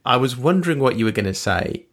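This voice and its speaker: A man's voice: mid-range voice, expressive, fast talker. A man hosting an interview, speaking thoughtfully and hesitantly, repeating words as he works out his point. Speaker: podcast host. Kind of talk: conversational interview.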